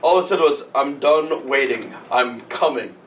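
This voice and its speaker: A man's voice: mid-range voice, unhurried. A man co-hosting a podcast with casual, jokey, sweary banter and read-aloud horror stories. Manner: jokey, sweary, frequent asides. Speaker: podcast co-host. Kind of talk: casual banter and creepypasta reading. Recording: distant mic, noisy background.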